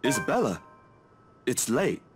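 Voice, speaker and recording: An actor playing a weary, haunted young man in a visual novel, speaking in a hushed way. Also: medium pitch, sing-song, unhurried, soft background music. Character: weary young man haunted by his past, self-soothing.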